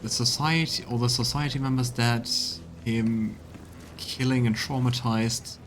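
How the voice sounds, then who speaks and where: A man's voice: medium pitch, fairly even intonation, measured pace, background noise. A man giving casual let's play commentary, musing and thinking aloud as he plays a fantasy video game. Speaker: streamer playing a fantasy role-playing video game. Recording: game music playing behind.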